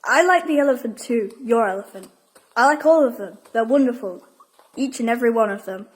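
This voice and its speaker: A woman's voice: fairly high pitch, expressive, brisk, rough recording. A woman playing a naughty, curious young girl in a Victorian audio drama, talking in short playful retorts. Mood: encouraging.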